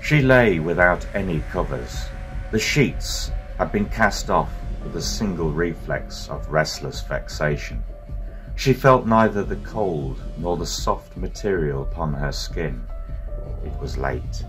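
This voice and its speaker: Really deep voiced guy reading a dramatic story, slow and portentous, music playing under him. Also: animated, a bit bright, low-quality recording. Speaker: narrator. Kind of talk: dramatic scripted story narration. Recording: voice over music.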